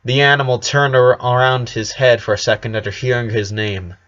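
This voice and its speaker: A man's voice: mid-range voice, fairly even intonation, a little fast, a bit bright, lo-fi sound. A man reading a scripted story aloud as narrator. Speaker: story reader. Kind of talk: scripted narration of fan fiction.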